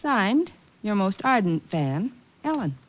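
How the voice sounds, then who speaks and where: A woman's voice: medium pitch, slow pace. An actress playing a detective's sophisticated girlfriend, amused and fondly exasperated, in old radio comedy-drama style. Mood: wry reading. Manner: lively, teasing, mock-scolding. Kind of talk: 1940s-50s radio comedy-drama acting. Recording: dull old-time radio recording.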